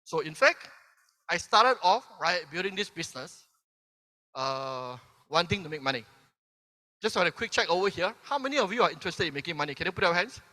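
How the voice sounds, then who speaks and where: A man's voice: sits high, animated, fairly quick, sharp tone. A man in his fifties from Malaysia giving a motivational convention speech, tagging lines with "right?" and asking the crowd questions. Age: 50s. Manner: peppers sentences with "right?", asks the crowd questions. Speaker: keynote speaker. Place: large convention hall. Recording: long hall reverb from a stage.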